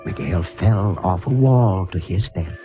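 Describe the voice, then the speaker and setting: Slow, low-voiced solemn priest. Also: male voice, lively intonation. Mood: stern, accusing. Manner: grave, deliberate, preaching. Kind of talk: 1950s radio drama acting. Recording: muffled vintage radio recording with music.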